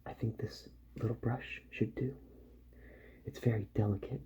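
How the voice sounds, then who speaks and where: A man speaking softly, slowly and soothingly in an ASMR roleplay as a repair doctor, often slipping into a whisper. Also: on the low side, fairly even intonation, slight background noise.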